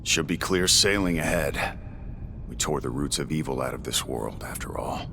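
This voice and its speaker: An actor playing a grim, brooding warrior in a video game, with a deep gravelly voice and terse delivery. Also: male voice, normal speed, background noise. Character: grim, brooding warrior obsessed with destroying chaos. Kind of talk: dramatic video game acting. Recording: loud music under the voice. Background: loud game music.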